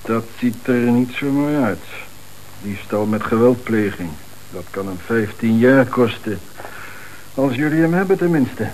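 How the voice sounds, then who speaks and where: A man's voice: medium pitch, lively intonation, a little slow, a little mellow, close to an everyday voice, low-quality recording. A man acting a matter-of-fact, wry police investigator, in a radio play. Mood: dry, factual. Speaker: actor. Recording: hissy old radio recording.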